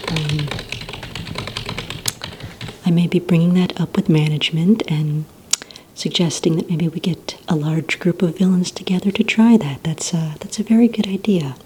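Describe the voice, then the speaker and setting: Whispering, low, mellow woman in soft ASMR roleplay. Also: a little hiss or hum, rough recording. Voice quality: breathy, mostly whispered. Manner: gentle, hesitant, unhurried questioning. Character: polite, encouraging villainous receptionist woman, calm and businesslike. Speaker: ASMR roleplay performer as a villain recruitment receptionist.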